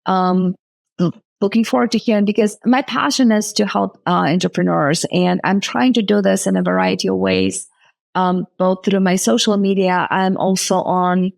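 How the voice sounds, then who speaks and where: Woman with a gravelly voice from the former Soviet Union. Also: medium pitch, fairly even intonation, no echo. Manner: thoughtful, halting, many fillers and restarts. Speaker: venture capitalist guest. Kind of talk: casual interview talk.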